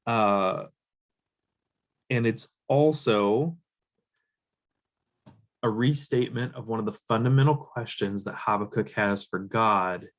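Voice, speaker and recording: A male pastor who is losing his voice, teaching verse by verse in a measured, explanatory way as he reads scripture aloud and unpacks it. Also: lowish voice.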